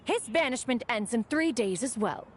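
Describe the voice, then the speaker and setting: Woman doing a lofty imperious immortal lady, game cutscene, very expressive, bit of noise behind. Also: fairly high pitch, normal speed, lightly acted. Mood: stern, haughty. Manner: haughty, formal, imperious. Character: proud, lofty immortal bird adeptus woman. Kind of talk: video game voice acting.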